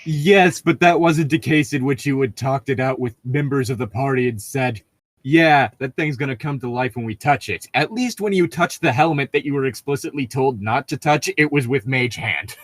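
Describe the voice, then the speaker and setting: A man's voice: medium pitch, moderate pace, no echo. A man co-hosting a podcast, switching between casual chat and reading stories aloud.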